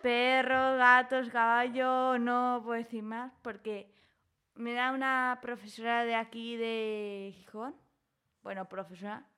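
A woman's voice: fairly high pitch, flat delivery, takes it slowly, pushed and bright, clean tone. A woman in her twenties from Spain, a radio guest who gives brief, shy answers. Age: 20s. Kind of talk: casual radio interview.

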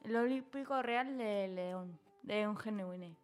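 Slow-spoken shy young woman. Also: medium pitch, faint music behind. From Spain. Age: 20s. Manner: brief, shy answers. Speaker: radio guest. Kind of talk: casual radio interview.

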